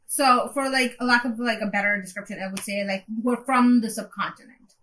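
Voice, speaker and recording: Bright-voiced woman from Pakistan. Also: on the high side, steady tone, brisk, a little hiss or hum, rough recording. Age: 30s. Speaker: podcast co-host. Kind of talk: casual podcast chat.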